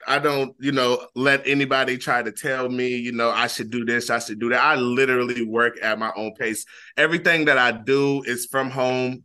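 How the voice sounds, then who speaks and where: Laid-back young man, bright voice. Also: mid-range voice. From Flint, Michigan. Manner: laid-back, repeats phrases, many fillers. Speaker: guest rapper. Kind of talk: casual interview talk.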